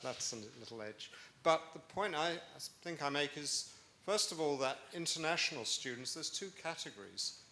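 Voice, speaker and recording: Older man, audience member, bright voice, roomy hall sound, faint ambience, measured. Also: mid-range voice, slight background noise. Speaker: audience member, emeritus professor. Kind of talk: audience question at a conference.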